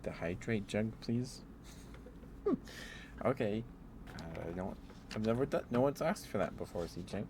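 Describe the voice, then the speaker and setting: Male ASMR streamer, lowish voice, affectionate and apologetic rambling chat, noticeable background noise with typing. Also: expressive, unhurried, pauses often. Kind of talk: ASMR livestream chat with viewers.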